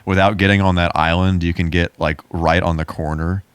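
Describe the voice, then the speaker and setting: A man's voice: low-pitched, level tone, fairly quick, clean tone, dry recording. A man co-hosting a podcast, chatting casually about video games. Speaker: podcast co-host.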